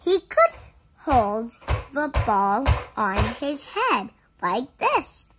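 A woman reading a children's picture book aloud with deliberate, expressive phrasing. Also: high-pitched, music in the background. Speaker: storybook reader.

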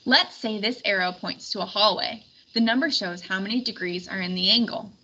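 A woman's voice: medium pitch, brisk, sharp tone, natural voice. Lady doing a young squire boy, earnest and a bit anxious, storybook style, slightly dull noisy recording. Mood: earnest explaining. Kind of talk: storybook read-aloud.

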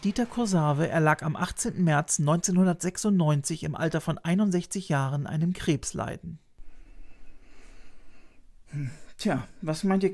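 A man's voice: on the high side, a little mellow. A man in his thirties narrating a scripted piece about voice actors as host, informative with chatty playful asides, recorded at a studio mic in a small room lined with shelves. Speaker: host of a retro TV channel. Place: small room full of shelves of videos and toys. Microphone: studio mic on a boom arm.

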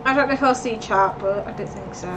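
A woman's voice: medium pitch, fast. A young woman streamer chatting casually and laughing often while playing a game with friends. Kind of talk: casual gaming chat. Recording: distant mic with background noise.

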